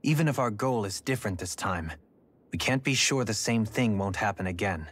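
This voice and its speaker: A man's voice: on the low side, animated, fairly bright tone, breathy edge. A man playing a formal, cautious scholarly nobleman in a fantasy video game cutscene. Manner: formal, measured wording.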